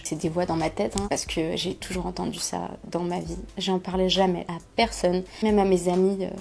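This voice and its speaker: Soft-spoken young woman, hesitant. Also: lowish voice, creaky. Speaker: interviewee. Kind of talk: personal testimony.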